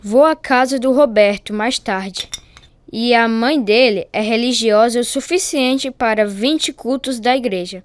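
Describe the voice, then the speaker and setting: A woman's voice: fairly high pitch, measured pace, no room sound, natural voice. A woman playing an earnest boy, a dutiful son, in a radio drama. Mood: matter-of-fact.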